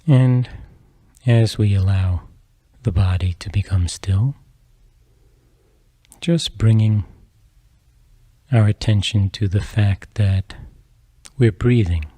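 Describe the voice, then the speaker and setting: A man leads a guided meditation in a deeply mellow, relaxed voice. He speaks softly in measured phrases, leaving long pauses between them. The tone is husky and the sound is close and dry. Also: no room sound. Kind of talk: slow guided meditation narration.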